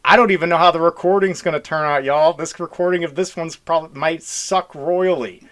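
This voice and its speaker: A man's voice: fairly high pitch, fairly even intonation, moderate pace, fairly bright tone. A man co-hosting a podcast in casual, joking chat, rambling along in a folksy way. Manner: rambling, folksy y'all talk. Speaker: podcast co-host.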